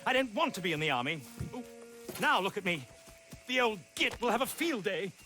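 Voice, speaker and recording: A man's voice: sits high, sing-song, brisk, sharp tone, faint music behind, heavily acted. A male actor playing a flamboyant British stage animal-tamer showman in drag, theatrical and grandiloquent, in a video game cutscene. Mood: despairing, indignant.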